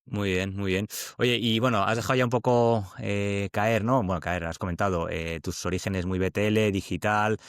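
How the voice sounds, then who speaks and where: A man hosting an interview podcast, talking informally and warmly to a friend, with plenty of fillers. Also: on the low side, steady tone, normal speed, clean tone, no echo, clean studio sound. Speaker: podcast host. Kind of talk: casual conversational interview.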